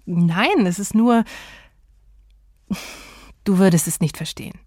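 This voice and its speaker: A woman's voice: mid-range voice, takes it slowly, darkish tone, no echo, clean studio sound. A woman voicing a friendly, self-assured young man who talks in a polite, easygoing way.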